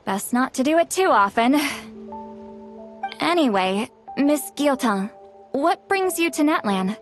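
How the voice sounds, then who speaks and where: A high-pitched, unhurried young woman for a video game. She is easygoing and polite, with an expressive delivery that turns hesitant when she gets flustered, and music plays behind her. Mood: relaxed, polite.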